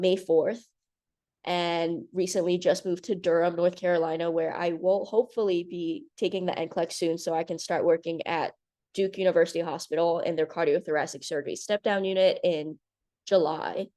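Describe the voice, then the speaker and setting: Young woman from Hong Kong, twenties, earnest and a little nervous, slightly low and mellow; presenter reading off slides. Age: 20s. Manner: earnest, nervous, with frequent ums. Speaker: nursing graduate presenter. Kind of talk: presentation over video call.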